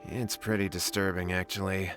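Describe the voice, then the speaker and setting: A man's voice: low voice, level tone, normal speed, music in the background, close to an everyday voice. A man voicing a worried, earnest villager in a video game, speaking uneasily. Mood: puzzled, uneasy.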